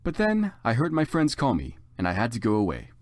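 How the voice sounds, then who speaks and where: A man narrates a story theatrically, with exaggerated emphasis and hugely animated intonation. The voice sits at a medium pitch and sounds close and dry. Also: a little fast, dry recording. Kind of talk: dramatic comedic fan fiction reading.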